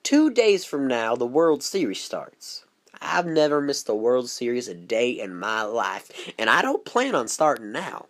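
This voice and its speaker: A woman's voice: rumbling low, sing-song, measured pace, voice pushed down, heavily acted. A woman voicing a brash, rebellious male psychiatric patient, folksy and defiant, in an amateur film. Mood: insistent. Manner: casual, cocky, needling. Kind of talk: scripted amateur film dialogue.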